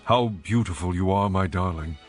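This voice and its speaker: An actor playing an ardent, adoring bridegroom, speaking tenderly and poetically in a dramatized scripture reading. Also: male voice, low voice, soft background music, close to an everyday voice. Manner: tender, poetic, measured delivery.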